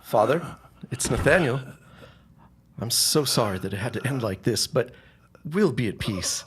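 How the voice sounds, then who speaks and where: An actor playing an anxious, genteel grown son in a period audio drama, speaking formally with a husky voice. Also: male voice, medium pitch, fairly bright tone, a little hiss or hum. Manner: formal, old-fashioned, halting under pressure. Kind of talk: period radio drama acting.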